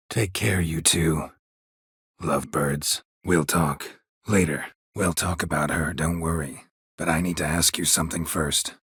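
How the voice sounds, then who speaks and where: An actor playing a gruff, weary, sardonic monster hunter in a video game, with a husky, gravelly low American voice and a terse, deadpan delivery. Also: male voice, no echo, studio quality, exaggerated voice. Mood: dry, guarded.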